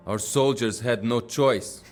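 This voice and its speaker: An actor playing a brooding, bitterly defiant Soviet army captain in a dramatic video game cutscene. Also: male voice, mid-range voice, takes it slowly, darkish tone, soft background music, natural voice. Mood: haunted, brooding.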